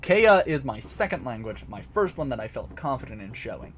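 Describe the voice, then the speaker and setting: A man's voice: mid-range voice, very animated, a little fast, a bit bright, slight background noise. A man casually explaining a topic to a live chat from a home basement recording area, hesitant, with many fillers and restarts. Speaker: host answering chat.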